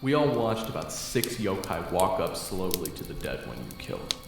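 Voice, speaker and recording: A man's voice: deep voice, sing-song, normal speed, creaky. A man narrating an audio roleplay in second person, telling the story steadily. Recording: very echoey with music. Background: background music.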